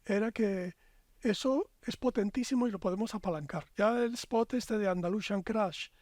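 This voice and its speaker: A man's voice: high voice, normal speed, a little mellow, no room sound, studio quality. A man with a Spanish accent, a podcast guest, talking in long reflective, expansive stretches. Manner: reflective, expansive, builds long lyrical arguments. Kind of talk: casual podcast conversation.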